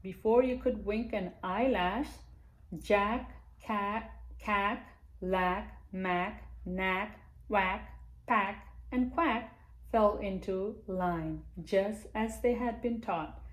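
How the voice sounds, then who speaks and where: A woman reads a children's picture book aloud in an unhurried, storytime manner, pausing now and then to address young listeners. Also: medium pitch. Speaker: library storytime reader.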